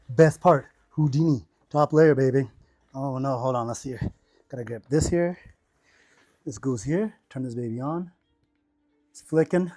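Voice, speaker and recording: A man's voice: mid-range voice, a little mellow. Playful man narrating with punchy exclamations. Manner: playful, peppers talk with exclamations and catchphrases; slangy, jokey self-narration in short bursts. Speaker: vlogger demonstrating his cycling rain gear. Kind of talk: casual talk while getting dressed on camera. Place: indoors at home.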